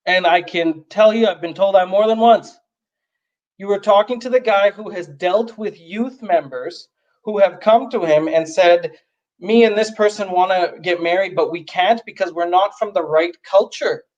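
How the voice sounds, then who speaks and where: Blunt, high-voiced Canadian man. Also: moderate pace, dull audio. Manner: direct, emphatic, speaks bluntly. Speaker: guest, youth advocate. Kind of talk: livestream conversation.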